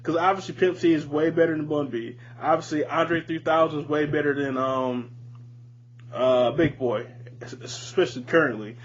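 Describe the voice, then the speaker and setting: A man's voice: fairly high pitch, steady tone, moderate pace. A man co-hosting a podcast, chatting casually about music in a slangy, profane, emphatic way. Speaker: podcast co-host. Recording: dull lo-fi audio, audible background noise.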